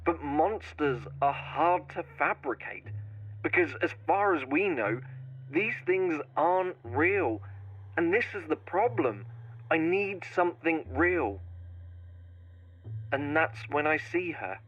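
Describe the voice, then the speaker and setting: A man playing a brooding, obsessive horror writer who turns menacing in a slow, deliberate first-person scripted monologue with dramatic pauses. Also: on the high side, animated, muffled recording. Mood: brooding, restless.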